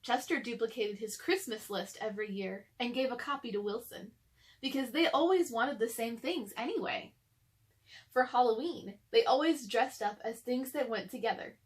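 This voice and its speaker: A woman's voice: a little high, moderate pace. A woman reading a children's story aloud, narrating and speaking directly to young listeners. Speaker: storybook reader.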